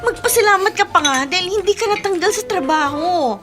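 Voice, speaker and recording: An actress playing an exasperated, concerned young Filipino sister, scolding and pleading in radio drama style. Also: female voice, very high-pitched, animated, a little fast. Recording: faint music behind.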